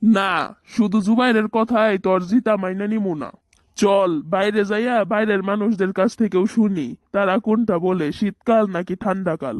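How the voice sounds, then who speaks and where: Man as contrary older brother, comic cartoon, teasing, Bangladeshi accent, unusually high voice, no room echo. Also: normal speed, close to an everyday voice. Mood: stubborn, argumentative.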